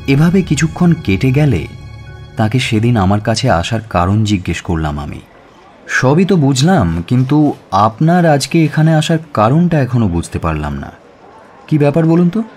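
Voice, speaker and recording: An actor playing an authoritative police inspector who narrates an audio story in a measured, deliberate way. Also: male voice, on the low side, big pitch swings, darkish tone. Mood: probing. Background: music.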